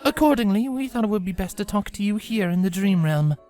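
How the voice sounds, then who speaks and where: A woman's voice: medium pitch, animated, a little fast, warm tone, close to an everyday voice. Woman voicing a regal pony night princess. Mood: solemn, calm. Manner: formal, stately, uses royal we.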